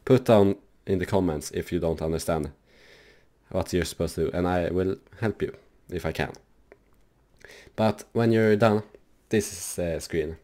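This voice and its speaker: Low-voiced man rambling through a tutorial. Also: measured pace, mellow. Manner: rambling, loose, many fillers. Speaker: gaming channel host. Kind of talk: casual software tutorial.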